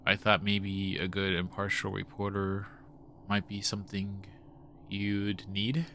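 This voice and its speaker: A man acts as a breezy male reporter in a sci-fi audio drama. The voice is bright and forceful though fairly low, with casual, rambling talk and faint noise behind. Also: monotone, slow pace. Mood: hesitant, pleased. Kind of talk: radio drama acting.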